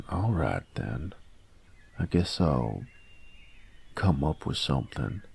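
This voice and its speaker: Man playing a very deep, gravelly young bully. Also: a little mellow, heavily acted. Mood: gruff, dismissive. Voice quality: gravelly, husky. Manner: slangy, halting, feigned indifference. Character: gruff young male bully, secretly curious about nerdy games. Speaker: voice actor in audio roleplay. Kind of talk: intimate one-sided audio roleplay, casual dialogue.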